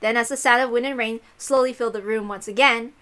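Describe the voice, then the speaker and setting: A woman's voice: on the high side, steady tone, fast talker, sharp tone. A woman reading a visual novel aloud as a narrator, telling the story dramatically. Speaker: story reader.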